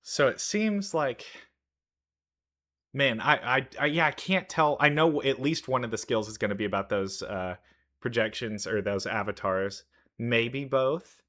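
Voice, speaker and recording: A man's voice: medium pitch, unhurried, fairly bright tone. A man giving casual game reaction commentary, musing and thinking aloud as he goes. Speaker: reaction video host.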